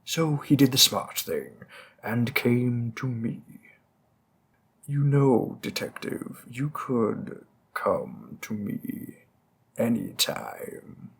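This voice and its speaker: A man's voice: mid-range voice, sing-song, extremely slow, creaky, husky, full character voice. A man playing a smug, flirtatious mob boss who teases a detective and drops veiled threats. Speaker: audio roleplay performer. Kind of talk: scripted audio roleplay.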